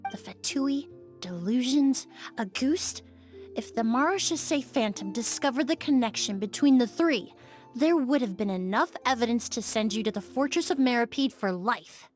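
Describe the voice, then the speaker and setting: A woman's voice: fairly high pitch, expressive, soft background music, natural voice. An actress playing a composed, incisive woman investigator in video game dialogue, speaking formally and precisely. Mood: stern, analytical. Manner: measured, formal, accusatory.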